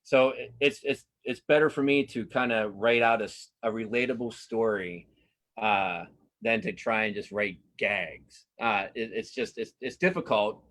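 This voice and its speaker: A man's voice: fairly low pitch, moderate pace, fairly bright tone. A man speaking casually as an interview guest, a cartoonist and writer who often repeats words and restarts his phrases.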